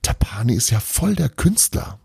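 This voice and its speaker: A man reads the part of a frightened boy from a children's story. The voice is husky and gravelly, with anxious hesitations. The pitch jumps around expressively. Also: very animated, moderate pace, dark tone, dry recording, studio quality, exaggerated voice. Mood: awed. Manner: anxious, stammering.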